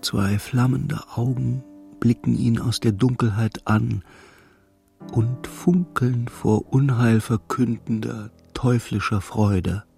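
An actor narrating a dark literary radio play as a solemn, measured storyteller. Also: male voice, medium pitch, steady tone, gravelly, faint music behind. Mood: ominous.

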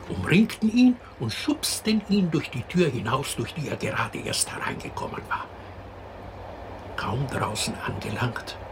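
Casting: fairy-tale narrator, lively melodic swings, gravelly edge, ambient noise behind him. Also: male voice, medium pitch. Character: male storyteller narrating an absurd fairy tale. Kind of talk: scripted storybook narration in a radio drama.

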